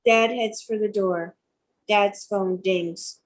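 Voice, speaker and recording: A woman's voice: medium pitch, steady tone, slow pace, a little hiss or hum. A woman giving scripted narration, reading out stage directions for a table read.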